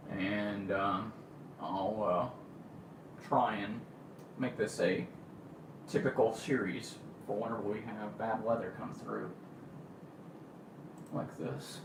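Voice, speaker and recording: A man's voice: mid-range voice, background noise. A man hosting an amateur livestream in a casual, informal way, thinking aloud as he goes. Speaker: amateur storm-watch livestream host. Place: a room at home.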